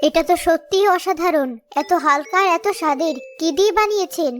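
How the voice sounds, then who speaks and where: A woman's voice: extremely high pitch, a little fast, soft background music. A woman playing a sensible village wife and mother in a cartoon story. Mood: impressed.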